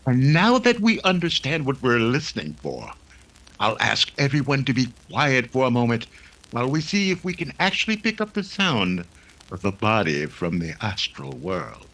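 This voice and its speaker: A man's voice: mid-range voice, wildly swooping pitch, moderate pace, creaky, a bit bright, heavily acted, poor audio. A man playing an earnest, commanding psychic investigator who speaks formally and intensely, in a 1930s-style radio drama. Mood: formal, eager.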